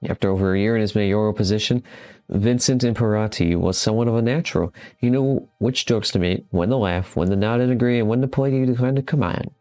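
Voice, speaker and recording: A man's voice: lowish voice, fast, darkish tone. A man hosting a gaming video, speaking informally as he comments on games and reads their text aloud. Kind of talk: casual game commentary and reading game text aloud.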